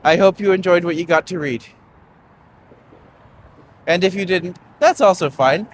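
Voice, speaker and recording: A man's voice: sits high, measured pace, a little hiss or hum. A young man hosting a live-streamed walking tour outdoors on a city street, rambling and chatty with lots of fillers, recorded on a phone.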